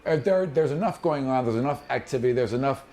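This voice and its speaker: Guy game mastering a fantasy rpg, chatty animated narration, medium pitched voice. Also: fast.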